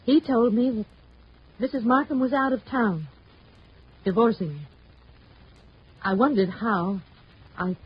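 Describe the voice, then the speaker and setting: An actress playing a guarded, wistful mistress in a vintage radio noir drama, husky-voiced, with a halting, dramatic delivery. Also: female voice, fairly high pitch, heavily acted. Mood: wistful, dejected. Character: guarded, wistful mistress caught in a crime, female. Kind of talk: 1950s radio noir acting. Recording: dull vintage radio recording.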